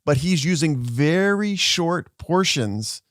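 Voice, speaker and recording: A man's voice: fairly high pitch, expressive, slow pace, fairly bright tone, no echo, studio quality. A man who works as a social media lawyer, giving an upbeat explainer and walking viewers through video clips.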